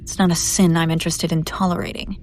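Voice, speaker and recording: A woman playing a haughty, wealthy demon buyer in a scripted audio roleplay, condescending and teasing with drawn-out theatrical delivery. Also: lowish voice, flat delivery, a little mellow, lightly acted. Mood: skeptical, dismissive.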